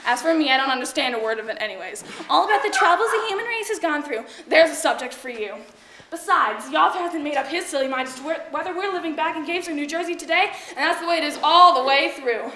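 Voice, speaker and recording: A woman's voice: high-pitched, expressive, a little fast, fairly bright tone. A woman acting a chatty, fretful housemaid and disgruntled actress in a theatrical monologue, with dramatic, emphatic, gossipy delivery. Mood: exasperated. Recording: echoey room with faint noise and clicks.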